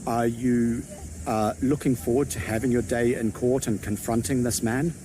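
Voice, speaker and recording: A man's voice: medium pitch, steady tone, moderate pace. A man interviewing an older woman outdoors, polite and formal. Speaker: reporter. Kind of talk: street interview. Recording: outdoor street noise.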